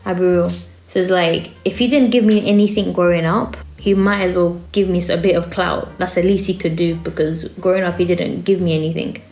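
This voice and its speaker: A woman's voice: on the low side, fairly even intonation, normal speed, darkish tone. A woman in her twenties chatting casually on a live stream, offhand and full of fillers. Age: 20s. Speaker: small content creator.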